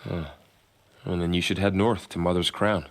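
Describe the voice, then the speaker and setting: A solemn warrior, very low voice, earnest; game cutscene. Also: male voice, little pitch movement, moderate pace. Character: grave young warrior guarding a gate. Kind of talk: video game cutscene acting.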